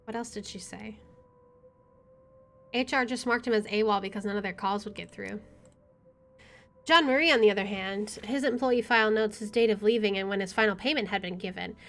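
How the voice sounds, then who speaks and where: A woman's voice: mid-range voice, brisk, long pauses, fairly bright tone. A young adult woman streaming a game, reading a visual novel aloud with casual commentary. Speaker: gaming streamer.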